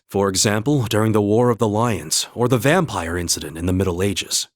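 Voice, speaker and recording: A man playing an earnest young holy knight in a video game, with a husky voice and a measured, formal, noble delivery. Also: mid-range voice, a bit bright, no room sound, clean studio sound. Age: young adult.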